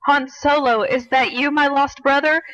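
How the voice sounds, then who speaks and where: Boy wizard, read by a woman: high, bright, earnest, sentimental, full of wonder, storybook style. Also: fairly even intonation, normal speed, dry recording, lo-fi sound. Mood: wonderstruck. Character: earnest wonderstruck boy wizard meeting long-lost brother.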